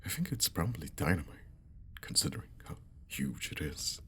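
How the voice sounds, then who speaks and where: A man playing a friendly, caring young old school friend in an ASMR roleplay, speaking softly and hesitantly with a breathy half-whisper, close to the mic. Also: rumbling low, sing-song, moderate pace, a little hiss or hum, exaggerated voice. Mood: upbeat, marveling. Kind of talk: ASMR roleplay, intimate one-sided conversation.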